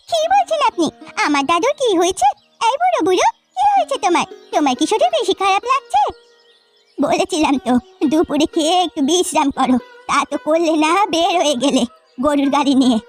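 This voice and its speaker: A woman voicing a kind-hearted old village grandmother in an animated folk tale, caring and fretful. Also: very high voice, fairly quick, music in the background. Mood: alarmed, fretful.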